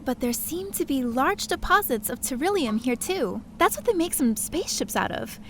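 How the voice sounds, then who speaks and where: High-voiced, earnest young woman adventurer, acted out. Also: big pitch swings, moderate pace, over music. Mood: eager. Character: curious, chatty, sheepish young woman adventurer. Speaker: lead character and narrator. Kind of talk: first-person scripted story narration with dialogue.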